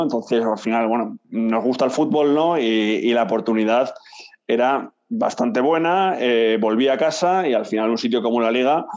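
A man in his thirties from Madrid, a guest telling his career story in a relaxed, chatty way, often tagging sentences with a question. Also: medium pitch, lo-fi sound. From Madrid, Spain. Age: 30s. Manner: relaxed, chatty, tags lines with "¿no?". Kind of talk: casual interview talk.

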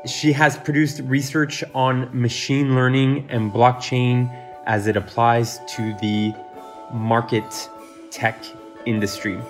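A man's voice: mid-range voice, flat delivery, takes it slowly, faint music behind. A man hosting a podcast who reads an upbeat scripted introduction of his guest and then asks questions. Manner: upbeat, read-aloud introduction. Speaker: podcast host.

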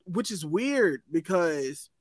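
A young adult man, a voice actor, talking in an animated, excitable way with stammered restarts in a casual podcast chat. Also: fairly high pitch, slow pace, no room sound, studio quality. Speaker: voice actor guest.

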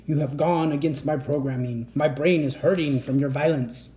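A reader voicing a menacing male robot guardian with a flat, mechanical delivery, in a children's storybook. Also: medium pitch, moderate pace, natural voice. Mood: cold menace.

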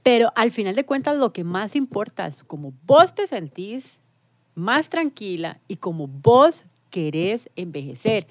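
A woman, a TV presenter talking reflectively in a casual panel chat and slowing down to stress her points. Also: mid-range voice, big pitch swings, no echo. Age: 40s. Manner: reflective, emphatic, slows down on key points.

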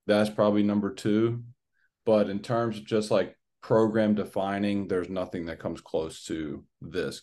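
A hoarse man in his twenties hosting a casual sports podcast, talking in an excited, rambling way. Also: fairly low pitch. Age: 20s. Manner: excited, rambling fan talk. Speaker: podcast host.

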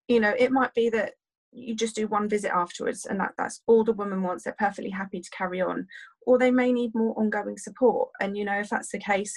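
A woman, a doula, answering podcast questions casually with many fillers and restarts while losing her voice. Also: medium pitch. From United Kingdom.

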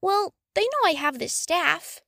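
A woman's voice: very high-pitched, big pitch swings, unhurried, gravelly, sharp tone, no room sound, clean studio sound, pitched above the performer's own voice, heavily acted. A woman voicing a polite, eager, evasive young boy wizard in a storybook reading.

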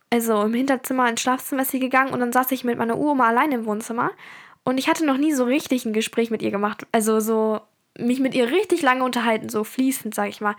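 A teenage girl from Germany hosting her own podcast, telling about her week in a chatty, rambling way with frequent fillers. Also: a little high. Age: teens. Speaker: podcast host. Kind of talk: casual personal podcast chat.

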